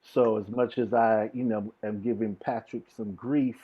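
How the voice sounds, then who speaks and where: Husky man chatting earnestly, low-fi sound. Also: fairly even intonation, measured pace. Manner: earnest, informal slangy talk. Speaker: interview guest, DJ and music producer. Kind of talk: casual chat. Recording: dull lo-fi video call audio.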